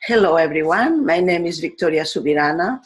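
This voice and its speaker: A woman's voice: lowish voice, expressive. An older woman from Spain with a Spanish accent, a teacher explaining her ideas on education with fillers and restarts. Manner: slow and deliberate, with fillers and restarts.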